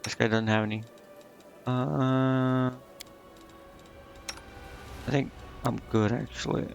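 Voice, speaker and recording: A man's voice: mid-range voice, fairly even intonation, slow pace, creaky. A man giving casual gameplay commentary, indecisive and muttering to himself as he streams. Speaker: game streamer. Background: game music.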